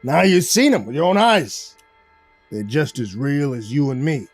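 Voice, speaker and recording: A man's voice: mid-range voice, big pitch swings, normal speed, no room sound, soft background music. A man voicing a genial, cocky mob boss with chatty, slangy, expansive talk in a narrated story. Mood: amused, showy.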